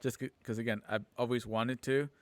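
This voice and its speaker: A man's voice: mid-range voice, steady tone, a little fast, dry recording. Earnest man in casual conversation. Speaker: film editor and aspiring voice actor. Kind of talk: casual group chat.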